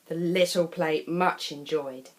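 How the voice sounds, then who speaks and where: A woman's voice: low-pitched, fairly even intonation, takes it slowly. A woman tells a children's story expressively, with theatrical delivery and chatty asides to the listener. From England. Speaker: storyteller reading a children's picture book.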